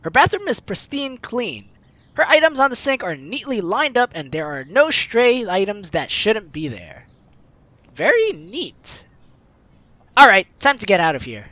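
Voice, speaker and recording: A gaming video host reading a visual novel aloud in an animated, theatrical way, adding playful commentary, with game music behind. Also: mid-range voice, dry recording, highs cut off. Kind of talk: let's play commentary with dramatized reading of game text.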